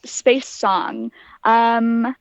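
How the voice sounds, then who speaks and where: A woman's voice: on the high side, very slow, smooth, no echo. Young woman, dull lo-fi audio. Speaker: interview guest, aspiring astronaut. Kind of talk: conversational explanatory interview answers.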